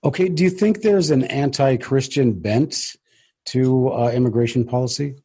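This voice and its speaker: A man with a husky voice, casually hosting an interview as the presenter of a book show. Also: medium pitch, normal speed, warm tone.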